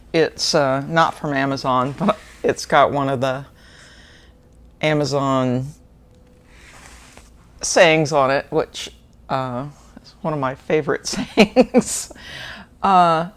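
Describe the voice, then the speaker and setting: A woman, hosting a book channel and chatting casually through an unboxing with fillers and small laughs. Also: low voice. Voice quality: slightly gravelly.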